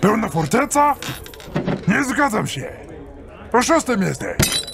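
A man's voice: sits high, big pitch swings, slow, vocal fry, exaggerated voice. A man playing a fat, balding, hot-headed gambler in an audio drama, blustering and taunting. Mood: outraged. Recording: noisy tavern background.

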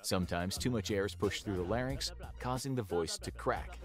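A man giving scripted educational narration in an even, explanatory way, played from a video. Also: fairly low pitch, normal speed. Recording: played video with faint music.

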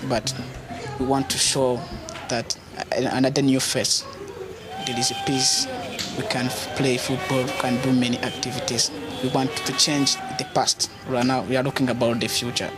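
A man's voice: mid-range voice, flat delivery, normal speed, over loud music. A man speaking to the camera in a hopeful, plain-spoken way. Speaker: interviewee.

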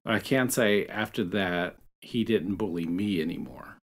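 A middle-aged American man chatting as a podcast co-host, telling anecdotes in a halting, self-correcting way. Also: low-pitched, gravelly. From Texas, United States. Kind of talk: casual conversational storytelling.

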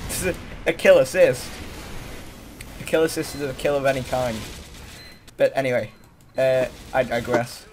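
A young man giving casual, excitable, bantering commentary while hosting a gaming video. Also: mid-range voice, moderate pace, pauses often. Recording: noisy amateur game-chat mic.

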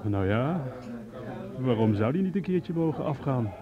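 A man acting an obliging, freeloading policeman in a radio drama. He talks slowly and hesitantly with a lively swing, in a muffled recording with a crowd behind. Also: mid-range voice, natural voice. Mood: amused, teasing. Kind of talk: Dutch radio play acting.

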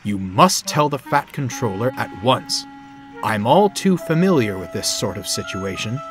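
A man's voice: mid-range voice, very animated, unhurried, over music. A man voicing a kindly, experienced steam engine with a friendly but authoritative manner in a children's story. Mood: stern.

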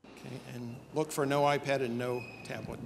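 Male presenter, medium pitch, delivering a startup pitch from an auditorium stage. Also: little pitch movement, normal speed, a little hiss or hum.

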